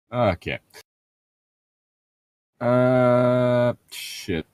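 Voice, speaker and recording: A man's voice: medium pitch, drawn-out words, a little mellow, clean tone, no echo, clean studio sound. A man bantering casually as he reads a novel aloud, swearing freely and heckling the text. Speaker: co-host of a comedic read-aloud show.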